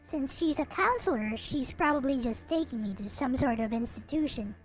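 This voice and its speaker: A woman reading a visual novel aloud in an expressive, theatrical way, with some casual commentary. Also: high voice, normal speed, rough recording. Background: background music.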